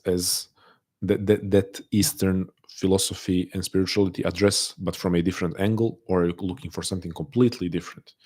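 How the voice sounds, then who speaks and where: A man with a non-native English accent, often taken for Russian, hosting a casual live chat and answering viewers; soft-spoken and hesitant, with many restarts. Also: sits low. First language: not English. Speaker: livestream host answering chat.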